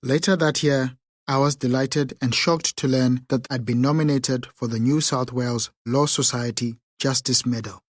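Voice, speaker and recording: A man reading a first-person memoir aloud as an audiobook narrator, in a measured, unhurried way. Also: mid-range voice, fairly even intonation, dry recording, clean studio sound.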